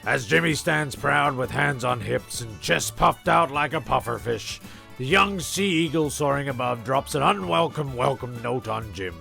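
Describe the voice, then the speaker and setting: A very gravelly, crackling voice over faint music: a man as the narrator of a castaway comedy. He is deadpan and wry, with a forceful edge as he tells the tale. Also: medium pitch, moderate pace, full character voice. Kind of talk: scripted comic narration.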